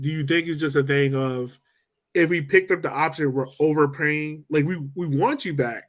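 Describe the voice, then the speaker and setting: A man hosting a casual sports podcast, talking loosely and rambling as he lays out his points. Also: a little high. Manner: loose, rambling, laughs easily. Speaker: podcast host.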